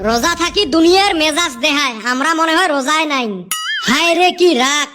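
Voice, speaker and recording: A very high-voiced cartoon lad, played by a performer, lazy and full of excuses. He needles and banters in a northern Bangladeshi Rangpuri accent, with music clearly behind the voice. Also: fairly quick, lightly acted. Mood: mocking. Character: lazy, wisecracking young village lad making excuses. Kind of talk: comedy cartoon dialogue.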